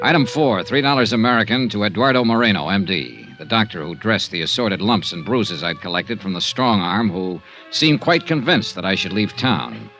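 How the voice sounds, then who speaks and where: A man's voice: fairly low pitch, big pitch swings, normal speed, hardly any pauses, muffled recording, over music. A man playing a wisecracking, hardboiled insurance investigator in an old-time radio detective drama, both in dialogue and first-person narration. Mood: dry storytelling. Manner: breezy, sardonic wisecracks.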